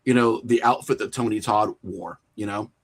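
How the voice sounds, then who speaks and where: A man's voice: lowish voice, normal speed. A man talking informally as an interview guest, explaining his views in loose, slangy speech peppered with filler phrases. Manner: animated explaining, frequent "you know" and "like", informal slang. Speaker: podcast guest, horror filmmaker. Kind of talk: casual interview conversation.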